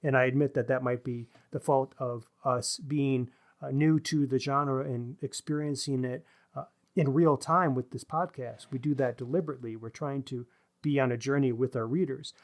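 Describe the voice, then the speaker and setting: A man's voice: medium pitch, steady tone, moderate pace. Male podcast co-host for informal book talk; thoughtful, literary, feeling his way through each point. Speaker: podcast co-host discussing books. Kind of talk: casual literary discussion.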